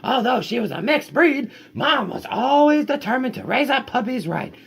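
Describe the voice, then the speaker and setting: Guy doing a talky storybook dog lady, squeaky high, gravelly, bouncy sing-song. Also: very animated, normal speed, fairly bright tone, heavily acted. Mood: chatty. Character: chatty talking female dog, endlessly rambling. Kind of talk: reading a picture book aloud.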